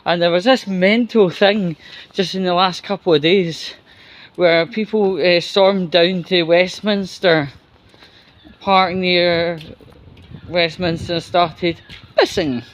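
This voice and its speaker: A man giving casual, opinionated news commentary in a rambling, halting, sweary way. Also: high voice, fairly even intonation. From United Kingdom. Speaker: host of his own commentary vlog.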